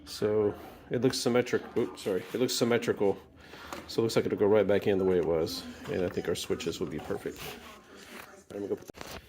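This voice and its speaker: A man's voice: lowish voice, fairly even intonation, fairly quick, darkish tone. A man casually narrating a hands-on repair, thinking aloud in an informal way as he works. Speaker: DIY repair video maker. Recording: clearly noisy home video recording.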